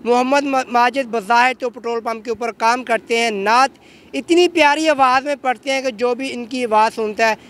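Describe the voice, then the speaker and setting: A man's voice: extremely high pitch, fairly even intonation, normal speed, fairly bright tone. A man, an effusive host doing a street interview and repeating answers back. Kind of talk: street interview for a web show. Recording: outdoor street noise with traffic.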